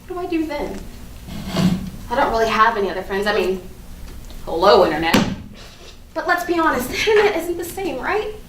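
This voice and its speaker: A woman's voice: fairly high pitch, big pitch swings, brisk, long pauses. A woman acting an anxious, guilty young woman who doubts herself, in a comic staged reading. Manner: self-doubting, repetitive, swearing. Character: anxious, guilty young woman agonizing over her messages. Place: live staged reading in an echoey room. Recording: echoey, noisy stage recording from far off.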